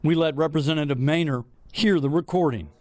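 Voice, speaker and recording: An American man narrating a scripted TV news report as an investigative reporter, in a measured, deliberate broadcast delivery. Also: a little high, a little mellow.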